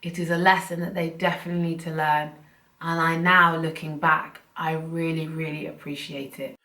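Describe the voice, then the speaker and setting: A young woman chats to her viewers from home, a little croaky and short of breath. Her voice sits slightly low and comes from a distance, with the room adding a clear echo. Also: level tone, moderate pace, sharp tone. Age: young adult. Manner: chatty, earnest, loose run-on phrasing. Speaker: vlogger. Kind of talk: casual talk to camera. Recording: roomy, from a distance.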